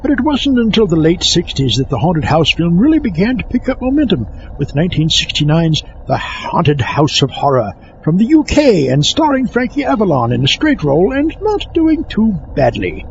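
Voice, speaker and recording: A man acts a fussy, self-important horror film buff in a comic audio show. His voice sits fairly high and swings about as he lectures in a pompous, easily exasperated way. The recording is muffled, with a slight noise behind it. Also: huge pitch swings, normal speed, vocal fry, hardly any pauses, heavily acted. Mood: pompous lecturing. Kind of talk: comic scripted film-history monologue.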